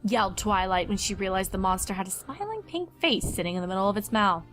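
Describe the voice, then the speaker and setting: A woman's voice: medium pitch, lively intonation, fast, fairly bright tone, soft background music. A woman reading fan fiction aloud as scripted story narration.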